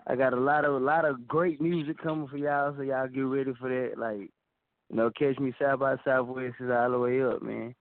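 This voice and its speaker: A man's voice: medium pitch, steady tone, fairly quick, no echo. A young man from Dallas, a rapper being interviewed, talking loosely with lots of "like" and "you know". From Dallas, Texas. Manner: laid-back, loose, full of "like" and "you know". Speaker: rapper guest. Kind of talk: casual interview talk. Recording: tinny phone-line sound.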